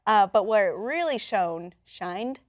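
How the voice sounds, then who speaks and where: A woman hosts an entertainment news chat in a mid-pitched voice. She explains things conversationally and casually, as if talking it through with a co-commentator. The sound is close and dry, with very little room. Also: animated, unhurried, no echo. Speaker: host of an online entertainment news show.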